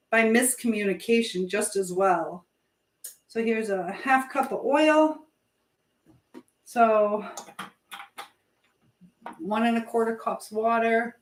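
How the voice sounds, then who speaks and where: A woman hosting a casual live chat from her kitchen, talking informally to viewers while she cooks. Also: on the high side. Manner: chatty, reads viewer messages aloud and questions them. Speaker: live stream host giving advice. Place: indoors in a kitchen. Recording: echoey room with background noise.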